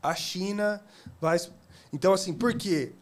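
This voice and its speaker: A man guest on a podcast, telling stories in a casual, animated way and acting out what people said. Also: sits high, unhurried. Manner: animated, laughing, acts out quotes. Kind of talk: casual storytelling.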